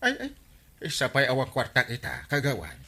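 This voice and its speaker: A man's voice: medium pitch, wildly swooping pitch, brisk, pushed and bright, heavily acted. A man acting a flustered, blustering village captain with a Filipino accent in a comedy radio drama. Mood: evasive. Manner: blustering, exclamatory, swooping interjections. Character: male village captain Inteng, excitable, flustered debtor.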